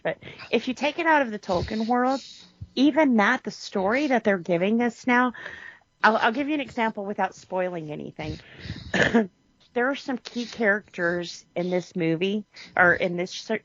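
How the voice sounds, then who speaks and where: A woman co-hosting a podcast, chatting casually about a TV series in an animated way, full of restarts and "you know". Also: mid-range voice, no room sound, dull audio. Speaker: podcast co-host. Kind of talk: casual chat reviewing a TV series.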